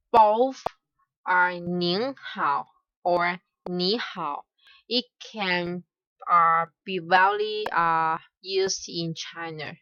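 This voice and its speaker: Young woman tutor, very slow and halting, bright tone, dull dry lo-fi take. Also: fairly low pitch, clean tone, no echo. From Hong Kong. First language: Cantonese. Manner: hesitant, many filler "uh"s, careful repetition of example phrases. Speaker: language teacher. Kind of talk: slow explanatory grammar lesson. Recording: dull, slightly lo-fi dry recording.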